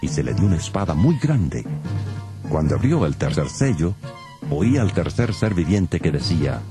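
A man's voice: deep voice, very animated, normal speed. A man narrating dramatized scripture in a solemn, dramatic manner. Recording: loud music behind.

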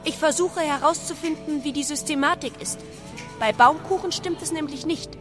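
High-voiced, naive female AI played by an actress. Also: moderate pace, over music, lightly acted. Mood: earnest, puzzled. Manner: childlike, speaks of herself in third person. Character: naive, literal-minded young female artificial intelligence, aloof and childlike. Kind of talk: German radio drama acting.

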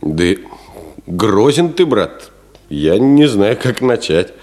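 An actor playing a gentle, wistful middle-aged teacher with a hesitant, teasing, colloquial way of talking in a 1970s Soviet radio play. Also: male voice, fairly low pitch, very animated, takes it slowly. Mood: teasing, apologetic.

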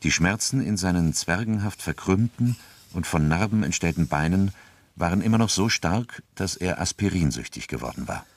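Deep voiced guy narrating a crime novel, measured and sober. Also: lively intonation. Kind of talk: scripted literary narration.

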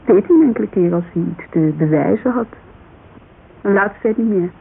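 Radio drama part: Dutch woman, thirties, guarded and wistful, gravelly fry in the voice, hissy narrow-band recording. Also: mid-range voice, lively intonation, fairly quick. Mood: guarded, coy. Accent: Dutch, Netherlands. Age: 30s. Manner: hesitant, colloquial, searching for words. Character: thirty-three-year-old Amsterdam model agency owner, guarded, wistful, candid. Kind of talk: naturalistic radio drama dialogue.

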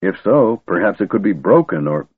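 A blunt young warrior, bewildered and pressing for answers, in a husky, lowish voice, as a male reader plays him. The sound is thin and phone-like and completely dry. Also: sing-song, normal speed, dry recording. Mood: worried. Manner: bewildered, demanding. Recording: tinny phone-like dry recording.

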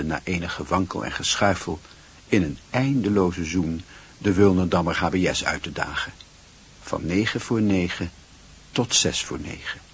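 A man's voice: sits low, husky. A man giving scripted literary narration for a Dutch radio play.

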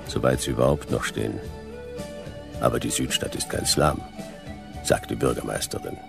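A very deep, gravelly private eye, narrates in clipped, deadpan fragments. It is hard-boiled radio drama, sardonic throughout, with music clearly underneath. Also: male voice, heavily acted. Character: laconic, sardonic male private detective narrator.

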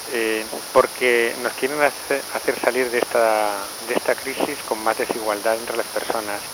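Earnest Spanish man calling in, noisy hiss. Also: medium pitch. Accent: Spain. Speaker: radio phone-in caller. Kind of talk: earnest activist declaration.

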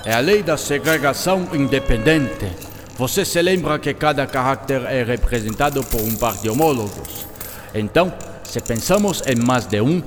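A man's voice: medium pitch, slight background noise, close to an everyday voice. Man playing German-accented eccentric scientist in reverberant hall. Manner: didactic, peppers speech with German words. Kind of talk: scripted radio drama dialogue. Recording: long hall reverb, music bed.

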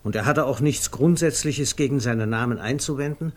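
An actor narrating a comic 1950s German radio play in a measured, precise, drily amused literary manner. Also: male voice, medium pitch, natural voice. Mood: wry. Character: wry literary male narrator of a comic tale.

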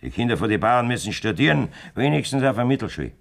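A man's voice: mid-range voice, quick pace, vocal fry. An actor with a Bavarian accent playing a scheming, blunt aging farmer who talks down to his wife in a radio play. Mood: cold-blooded. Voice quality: blunt, self-assured and lively in tone.

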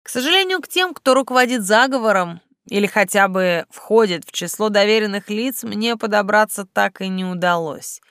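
A woman's voice: medium pitch, animated, normal speed, hardly any pauses, fairly bright tone, clear, resonant tone, no room sound, studio quality. A woman voicing a roguish, rueful young male agent in an audiobook. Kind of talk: audiobook character acting.

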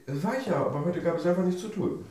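An actor playing an evasive, sweet-talking young husband in a radio drama, with a smooth, cajoling manner and speech. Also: male voice, high-pitched, fast talker, warm tone, recorded from a distance, natural voice. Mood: excited, affectionate. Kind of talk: German radio drama acting. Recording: echoey room, off mic, faint noise.

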